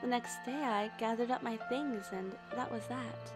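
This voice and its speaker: A woman's voice: a little high, fairly even intonation, moderate pace, over loud music, close to an everyday voice. An actress playing a young, chatty, earnest female intelligence analyst on a jungle mission in a radio drama. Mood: candid, wistful. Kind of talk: dubbed video game radio drama acting.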